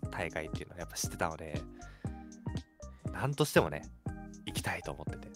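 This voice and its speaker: A man's voice: sits low, sing-song, fast talker. A man co-hosting a radio show in casual chat. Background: background music.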